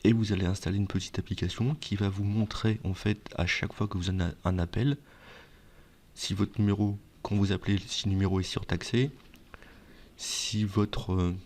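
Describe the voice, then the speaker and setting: A man with a fairly deep voice talks directly to his viewers as a presenter. He explains and advises in a conversational way, posing questions and then answering them himself. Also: fairly even intonation, moderate pace, darkish tone. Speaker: video presenter giving advice. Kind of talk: explainer talk to viewers, semi-scripted.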